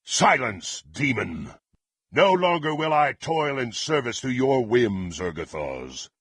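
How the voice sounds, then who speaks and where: A man playing a ruthless, power-hungry half-orc warrior in a fantasy video game, gruff and menacing, declaiming his lines imperiously. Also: medium pitch, fairly bright tone, dry recording, clean studio sound, full character voice. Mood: angry, demanding. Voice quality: gruff, husky.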